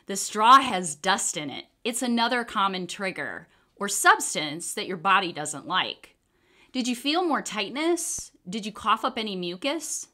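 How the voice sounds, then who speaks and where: A woman's voice: medium pitch, lively intonation, measured pace, sharp tone. A woman voicing a kindly male doctor in a children's storybook, explaining things in a reassuring way.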